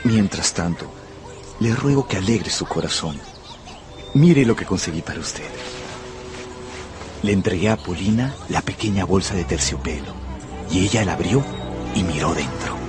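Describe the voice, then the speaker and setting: A man's voice: low voice, expressive, normal speed, pauses often, music in the background. An actor playing an earnest, lovestruck young man, narrating and speaking in an intimate radio drama. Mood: concerned. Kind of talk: first-person radio drama narration and dialogue.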